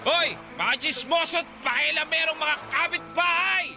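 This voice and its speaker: A man playing a drunken, hot-tempered older brother in a Filipino radio drama, taunting and loud. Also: extremely high pitch, moderate pace, vocal fry, faint music behind, exaggerated voice. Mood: sarcastic, irritated.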